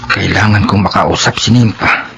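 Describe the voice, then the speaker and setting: A man's voice: fairly low pitch, moderate pace, vocal fry, background noise. A man playing an earnest, determined young working man in a radio drama, polite but secretive. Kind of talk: Filipino radio drama acting.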